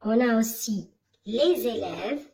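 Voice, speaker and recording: Female teacher, high animated voice, measured pace, leads kids through a text. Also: creaky. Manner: slow, questioning, didactic. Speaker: primary school teacher. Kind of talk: reading lesson for children.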